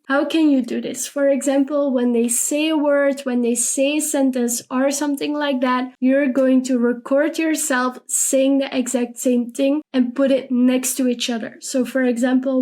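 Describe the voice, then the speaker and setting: A woman's voice: high-pitched, a little mellow. A young woman, a native Dutch speaker, giving a casual video lesson and speaking straight to her viewers, with many "for example"s. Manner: talks straight to camera, frequent "for example" and "you're gonna". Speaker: language teacher.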